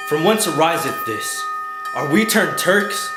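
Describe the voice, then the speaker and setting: An actor playing a stern, dignified general, declaiming with authority in classical stage style. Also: male voice, fairly high pitch, sing-song, unhurried, over loud music. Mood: outraged command. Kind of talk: classical Shakespearean stage acting. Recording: echoey hall, faint noise.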